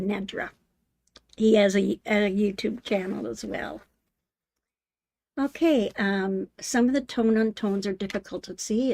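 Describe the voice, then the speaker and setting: An older woman hosting a live sewing demonstration, talking in a homey, unhurried way with many ums, her voice slightly hoarse. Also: medium pitch, darkish tone, dry recording. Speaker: sewing channel host. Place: home sewing room.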